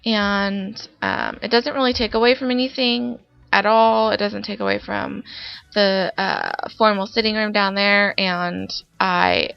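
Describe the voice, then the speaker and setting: A woman's voice: mid-range voice, animated, a little slow, creaky, clean tone. Congested young woman narrating a build. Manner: chatty, rambling with many fillers. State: congested with a summer cold. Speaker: Sims building YouTuber. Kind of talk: casual voice-over narration of gameplay. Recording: dull lo-fi voice-over with faint background music.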